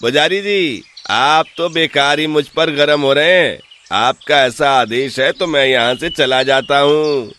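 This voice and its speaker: A man playing a sly, boastful village swindler in an animated folk tale, with a theatrical, smooth-talking delivery. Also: a little high, unhurried. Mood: falsely humble.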